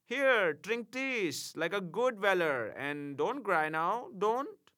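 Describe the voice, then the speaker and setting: Brief: male reader doing a blustering German-accented angel, high and loud, pompous and bossy. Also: very animated, takes it slowly, exaggerated voice. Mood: soothing. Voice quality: thick broken English, rolling mock-formal phrasing. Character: pompous tipsy male angel, quarrelsome, heavy-handed.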